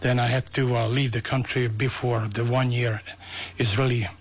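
An older man with a Hungarian accent tells his story as a radio guest, pausing often on "uh." His voice is gravelly and husky. The sound is dull and lo-fi, like an old broadcast. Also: mid-range voice, little pitch movement. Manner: halting, many "uh"s, amused. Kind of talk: telling a personal story.

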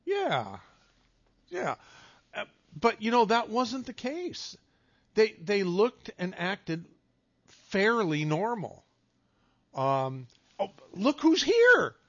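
A man's voice: sits high, huge pitch swings, very slow, pauses often, fairly bright tone, no echo. A man hosting a call-in radio show in a casual, jokey, rambling way, often restarting his phrases. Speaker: radio talk show host. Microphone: studio microphone. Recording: dull, dry radio studio sound.

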